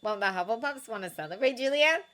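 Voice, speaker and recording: A woman's voice: fairly high pitch, expressive, fast, fairly bright tone, pitched above the performer's own voice. A woman voicing a cheerful, teasing teenage girl consoling her friends.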